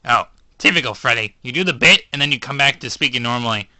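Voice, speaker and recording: A man's voice: mid-range voice, expressive, quick pace, sharp tone, dry recording, voice pushed down. A man voicing a patient male animatronic banjo player with a dry, mildly exasperated manner.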